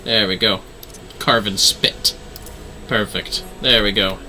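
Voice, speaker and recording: A man giving casual livestream game commentary in an animated way, often talking to himself. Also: on the low side, slow, a little hiss or hum. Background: music.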